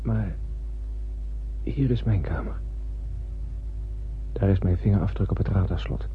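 A man's voice: low-pitched, unhurried. An actor playing a wondering, nervous spaceman who narrates a radio drama in a musing, theatrical way. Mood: nervous, hushed. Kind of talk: dramatic first-person radio play narration. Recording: narrow lo-fi old radio recording, faint noise.

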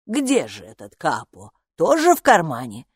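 A woman's voice: deep voice, wildly swooping pitch, measured pace, breathy edge, dry recording, clean studio sound, full character voice. A woman voicing a fretful, doting female gatekeeper creature from underground in a children's audiobook. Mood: bewildered. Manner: fretful, doting, repeats words in lament. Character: anxious, motherly green-skinned female underground gatekeeper creature.

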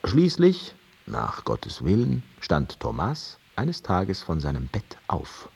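An actor playing a wry storyteller, narrating an old tale in expressive, deliberate radio drama style. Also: male voice, deep voice, vocal fry, slightly rough, full character voice. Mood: wry, solemn.

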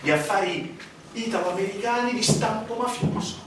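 A man acting a sardonic theatrical storyteller, declaiming a stage monologue with dramatic pauses. His voice sits on the high side. It rings through a very echoey space, far from the mic, with clear noise behind it. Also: natural voice. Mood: declamatory. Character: sardonic male storyteller recounting a dark financial scandal. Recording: echoey hall, distant mic, noisy.

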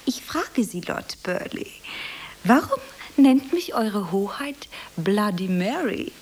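A woman playing a nervous, earnest young actress starting out in a radio drama, speaking in a hesitant, breathy way. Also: mid-range voice, very animated, takes it slowly, darkish tone, heavily acted, rough recording. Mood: dreamy, theatrical. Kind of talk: German radio play acting.

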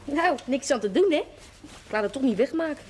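A woman's voice: high voice, animated, fairly quick. Brash, cheeky young working-class woman. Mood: defiant, frank. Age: young adult. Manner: cheeky, slangy, unashamed. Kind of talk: television period drama acting. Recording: noisy old television soundtrack.

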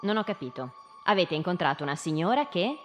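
An actress playing an inquisitive schoolteacher in live radio theatre, putting short, probing questions to children in speech. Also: female voice, lowish voice, sing-song, brisk, soft background music. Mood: curious, insistent.

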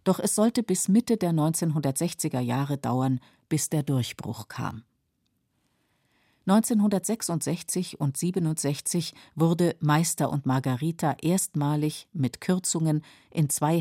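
A woman with a husky voice giving sober, measured scripted documentary narration in a radio studio. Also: deep voice, warm tone, no echo.

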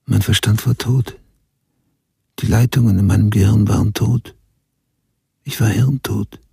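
An actor playing a haunted, hard-boiled writer, narrating his past in terse sentences in a radio drama with a gravelly voice. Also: lowish voice, fairly even intonation, unhurried, mellow, no room sound, studio quality, full character voice. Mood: haunted, bleak. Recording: music under the voice.

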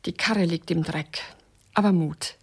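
A woman's voice: lowish voice, a little slow, slightly rough. An actress in a radio play playing a sardonic, bossy female companion, dry and correcting with mocking endearments. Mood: scornful, urging. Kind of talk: German radio play acting.

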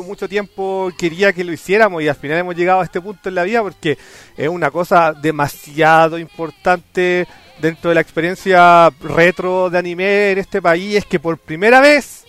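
Chilean man hosting a podcast, playful announcer energy, high and smooth voice, some music underneath. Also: a bit bright. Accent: Chilean Spanish. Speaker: podcast host. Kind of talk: casual podcast chat.

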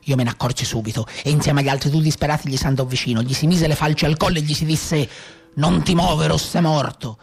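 An actor as a brisk Tuscan brigand storyteller. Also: male voice, on the high side, steady tone, vocal fry, slightly rough. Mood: brisk, gritty recounting. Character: rugged escaped brigand telling his own story. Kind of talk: first-person theatrical storytelling.

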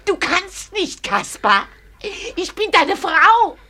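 An actress playing an old Malay wife in a German radio drama, nagging and scheming, with insistent, bitter demands in a hissing, creaky voice. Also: female voice, very high-pitched, sing-song, slow pace, bright, forceful tone, heavily acted. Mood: defiant, furious. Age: older. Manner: insistent, repetitive pleading and demanding.

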